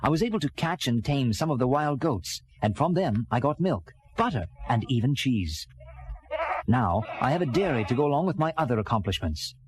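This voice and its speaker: A man's voice: mid-range voice, moderate pace, crowd noise, natural voice. An actor playing an earnest castaway adventurer who tells his story theatrically, in the manner of a dramatized children's read-along. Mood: earnest, reflective. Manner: animated, theatrical delivery. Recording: vintage record with music behind. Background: music.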